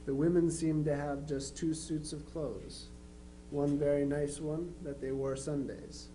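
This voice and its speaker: A man playing a plainspoken twenty-three-year-old Union soldier, reading his affectionate letters home in an unhurried, matter-of-fact way. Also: a little high, little pitch movement, warm tone, close to an everyday voice. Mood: reflective, matter-of-fact. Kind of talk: dramatized reading of historical letters. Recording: faintly noisy live recording with hum.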